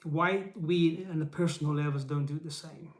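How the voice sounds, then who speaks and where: A man's voice: fairly high pitch, steady tone, moderate pace. Earnest Portuguese-native man in an echoey room. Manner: earnest, encouraging, rhetorical questions, frequent "right?". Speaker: YouTube channel host. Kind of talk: casual live motivational talk to camera. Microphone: camera mic.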